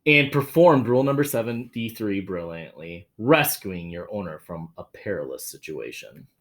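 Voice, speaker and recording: A man with a very animated, swooping delivery reads a picture book to children. He is dramatic with the story and slips into casual asides as he goes, speaking to the kids directly. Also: lowish voice, normal speed. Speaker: children's read-aloud host, teacher or school staff.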